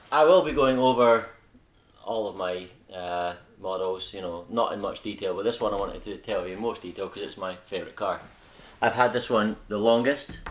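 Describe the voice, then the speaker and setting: A young Scottish man hosting a hobby video, chatting casually and rambling a little as he corrects himself. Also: on the low side. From Scotland. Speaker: hobby channel host. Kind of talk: casual unscripted vlog talk.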